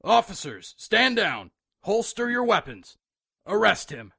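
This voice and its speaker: A man playing a stern, smug police lieutenant in a radio drama, curt and commanding, with a raspy voice. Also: sits high, sing-song, a little slow, sharp tone, heavily acted.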